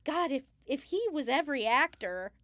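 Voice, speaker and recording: A woman's voice: high-pitched, animated, normal speed, a bit bright, no echo, studio quality. A woman chatting informally as an interview guest, thinking aloud about her craft in an unscripted way. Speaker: Foley artist, podcast guest. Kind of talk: casual interview chat.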